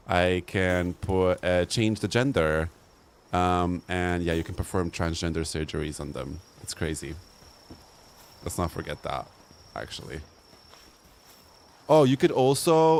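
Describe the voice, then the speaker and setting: A young man live-streaming a game, chatting casually in a campy, playful way and laughing often. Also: deep voice. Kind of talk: casual live-stream chat over gameplay.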